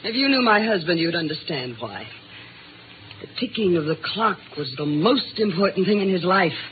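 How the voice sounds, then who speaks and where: Actress as bitter distraught wife, tinny old radio. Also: female voice, fairly low pitch, expressive, moderate pace, lightly acted. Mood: resigned bitterness. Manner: overwrought, sharp, emphatic. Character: distraught, bitter, accusing wife of a missing man. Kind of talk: 1950s American radio drama acting. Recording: tinny, noisy vintage radio recording.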